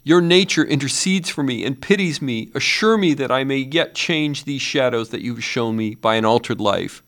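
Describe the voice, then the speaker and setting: A man's voice: medium pitch, animated, unhurried, a bit bright, pitched above the performer's own voice. A man playing a frightened old miser, strained and pleading. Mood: desperate pleading. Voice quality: strained, rising to anguished cries. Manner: earnest, beseeching, old-fashioned speech. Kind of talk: audiobook character voice.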